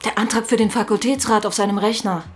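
An actress playing a brisk, commanding chief police inspector in a crime radio drama, matter-of-fact and decisive. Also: female voice, mid-range voice, steady tone, soft background music, lightly acted.

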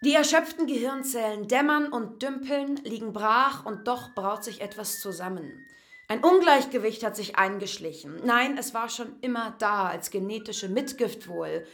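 Higher-voiced detached female narrator. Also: normal speed, fairly bright tone. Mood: ominous, detached. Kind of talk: literary scripted narration.

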